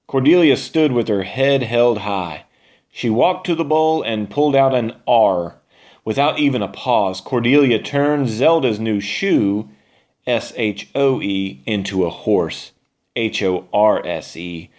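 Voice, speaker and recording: A man reading a children's story aloud as narrator, expressively, with dramatic pauses. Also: medium pitch, animated, a little mellow.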